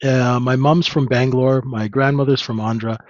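Man in his fifties telling stories in a relaxed, rambling way. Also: mid-range voice, moderate pace, no echo. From Canada. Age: 50s. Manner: relaxed, rambling, many fillers. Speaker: trader guest. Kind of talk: casual interview storytelling. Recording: dull lo-fi dry sound.

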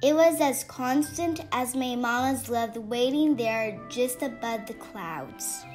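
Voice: mid-range voice, takes it slowly, darkish tone, soft background music, lightly acted. A performer voicing a young female baby oriole in a storybook read-aloud, childlike, dramatic and plaintive. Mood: awed realization.

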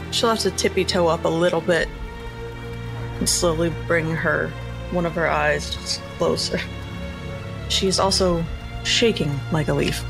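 Creaky-voiced woman playing a tabletop game. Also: on the low side. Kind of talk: casual improvised roleplay narration.

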